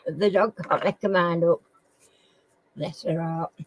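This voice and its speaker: Slow, homely Sheffield woman chatting. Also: lowish voice, steady tone, poor audio. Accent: Sheffield English. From Sheffield, England. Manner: chatty, slow and homely, often clearing her throat. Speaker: live stream host. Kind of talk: casual live chat with viewers.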